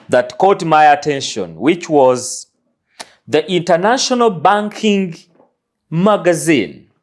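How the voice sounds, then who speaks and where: A man's voice: mid-range voice, a little mellow. A man hosting an interview, introducing his guest in an earnest, emphatic way. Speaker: host.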